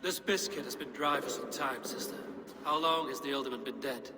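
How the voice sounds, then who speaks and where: A man acts out a stern game warrior, terse and blunt. He states hard facts plainly in a mid-pitched voice, with long reverb around it. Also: fairly quick, background noise, close to an everyday voice. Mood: probing, sombre. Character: stern, blunt male warrior investigator, adult. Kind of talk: dramatic video game acting.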